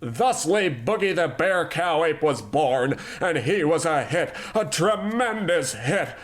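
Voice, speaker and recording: A man's voice: fairly high pitch, lively intonation, takes it slowly. A man playing a pompous, smug tycoon. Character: pompous, careless middle-aged male animation tycoon. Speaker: company boss character. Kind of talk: comedy radio play acting.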